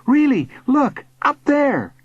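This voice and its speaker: An earnest young boy, as a male narrator acts him out, high in pitch and lively in swing. He is urgent and stubborn, the anxious young hero of an audiobook tale. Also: slow, no echo, highs cut off, heavily acted. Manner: urgent, pleading. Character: earnest young boy, defiant, worried for his uncle.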